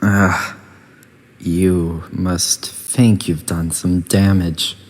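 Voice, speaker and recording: A man voicing a condescending, passive-aggressive computer that runs a testing facility in a video game, with a measured delivery. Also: low-pitched, flat delivery, exaggerated voice. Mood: wounded, resigned. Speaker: test chamber announcer and antagonist. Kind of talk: scripted video game voice lines.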